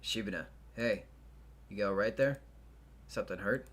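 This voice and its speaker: A performer as a gruff, low-voiced teenage boy. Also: moderate pace, fairly bright tone. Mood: awkward concern. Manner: blunt, gruff, slangy. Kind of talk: visual novel character acting. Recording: music behind the voice.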